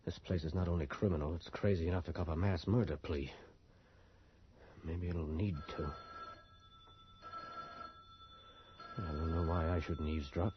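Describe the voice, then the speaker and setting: Low husky voice, cynical reporter, sardonic, radio drama, slightly muffled broadcast. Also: male voice, fairly even intonation, fairly quick. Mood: wry, suspicious. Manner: sardonic, muttering to himself. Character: cynical, wry male reporter, an outsider in town.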